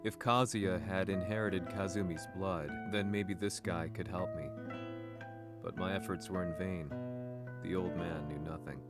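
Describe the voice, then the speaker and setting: A man acting as a brooding, serious investigator, narrating a scripted game story in a grave, measured way. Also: fairly even intonation. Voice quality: low. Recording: loud music under voice. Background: prominent guitar music.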